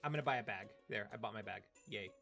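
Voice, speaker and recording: A woman's voice: rumbling low, fast talker, gravelly, fairly bright tone, soft background music. A woman with a Virginia Southern accent chatting playfully while gaming with a friend, often laughing. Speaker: streamer guest. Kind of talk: casual chat while gaming.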